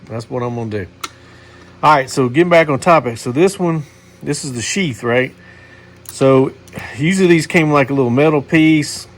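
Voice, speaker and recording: A man's voice: mid-range voice, normal speed. A man, hosting a casual knife video and rambling to his viewers with lots of fillers and restarts. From Missouri, USA. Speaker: knife channel host.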